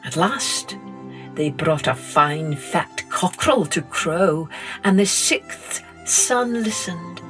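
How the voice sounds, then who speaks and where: A middle-aged woman, reading a children's story gently and expressively as a podcast host. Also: lowish voice, music in the background. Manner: gentle, slow and expressive read-aloud.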